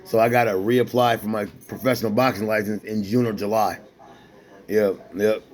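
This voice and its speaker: A man's voice: lowish voice, fairly even intonation, moderate pace, a little mellow. A man in his forties, an amateur boxer, talking casually in loose, repetitive street slang with lots of restarts. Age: 40s. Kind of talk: casual rambling talk to a companion.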